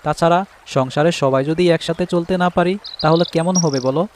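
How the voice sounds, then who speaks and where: Chirping birds and clear background noise surround a village husband acted by a man in a cartoon. His voice sits fairly high for a man. He speaks as an encouraging fish farmer who keeps the household at peace. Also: fairly even intonation, fairly quick, darkish tone, lightly acted. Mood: reassuring. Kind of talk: Bangla cartoon voice acting.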